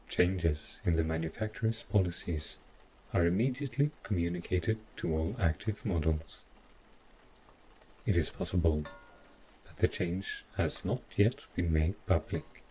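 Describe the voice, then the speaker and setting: A man playing an overprotective, stiffly polite robot butler in an audio roleplay, speaking in formal, deliberate phrases. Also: low voice, dark tone, slight background noise, low-quality recording. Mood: evasive, insistent. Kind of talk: scripted audio roleplay addressed to the listener.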